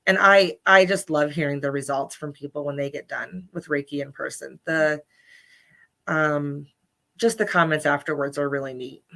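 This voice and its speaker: Woman guest, low bright voice, bubbly with ums, healer talking casually. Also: fairly even intonation, normal speed, vocal fry. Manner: bubbly, many ums and restarts. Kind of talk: casual interview chat. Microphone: livestream video call.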